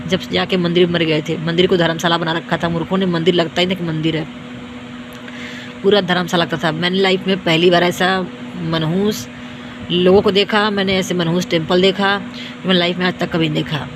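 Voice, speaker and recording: A young woman from India with a hoarse, worn-out voice rants to her viewers, repeating herself and scolding as she boasts. Her delivery stays flat, with little rise or fall. Traffic noise is clearly heard behind her. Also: on the low side, fairly quick. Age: young adult. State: tired. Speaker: vlogger. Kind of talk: self-filmed rant to viewers.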